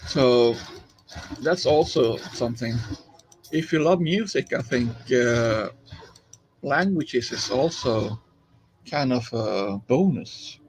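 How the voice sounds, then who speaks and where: A man's voice: mid-range voice, lively intonation, slow pace, long pauses, poor audio. A man from Finland with a Finnish accent, chatting casually on a live stream while he plays, rambling along with many fillers and restarts.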